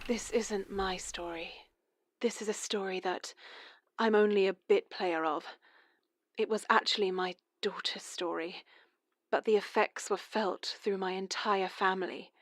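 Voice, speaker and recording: A woman's voice: medium pitch, dry recording, clean studio sound, natural voice. A woman playing a mother calling a radio show, telling her family's story in a hesitant, confessional way. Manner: confessional, hesitant, nervous laughs. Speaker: caller. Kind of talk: horror radio drama monologue.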